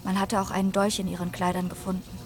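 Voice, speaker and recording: An actress playing a passionate, eloquent wronged young maiden in a German radio drama. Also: female voice, medium pitch, monotone, quick pace, soft background music, natural voice. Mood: indignant. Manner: eloquent, formal storytelling.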